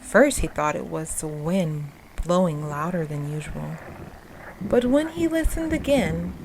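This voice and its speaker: Low, unhurried woman storyteller. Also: background noise. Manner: suspenseful, expressive, dropping to breathy hush. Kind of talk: dramatic scripted ghost story narration. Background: music.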